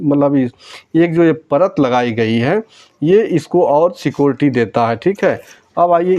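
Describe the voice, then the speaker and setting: A man's voice: fairly high pitch, a little mellow. A man giving a casual, hands-on repair tutorial, explaining step by step and speaking directly to viewers. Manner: conversational, step-by-step, often addressing viewers directly. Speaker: electronics repair tutorial presenter.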